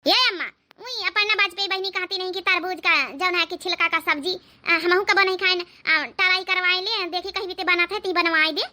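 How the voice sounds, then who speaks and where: A woman's voice: very high-pitched, steady tone, brisk, hardly any pauses, sharp tone, full character voice. A woman voicing a mischievous, tattling daughter of a village house, in Awadhi-accented comic cartoon dialogue. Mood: suggesting.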